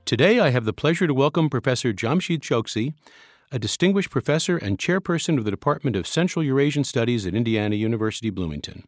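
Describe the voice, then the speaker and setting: A man hosting an interview podcast, speaking in a measured, formal way as he introduces his guest. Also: medium pitch, animated, no room sound, faint music behind. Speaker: podcast host.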